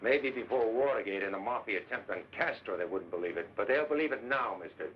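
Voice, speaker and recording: An actor playing an indignant, defiant American who confronts a colleague in a film drama. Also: male voice, on the low side, expressive, fast, lightly acted. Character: indignant, defiant male colleague exposing a cover-up. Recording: dull, faintly noisy film soundtrack.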